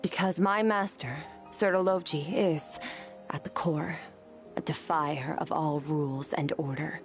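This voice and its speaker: A woman voicing a stoic, solemn young swordswoman in a video game, with measured, restrained delivery. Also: low-pitched, fairly even intonation, over music. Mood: solemn, reflective. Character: stoic, sombre young swordswoman recalling a tragic past. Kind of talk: dramatic video game dialogue.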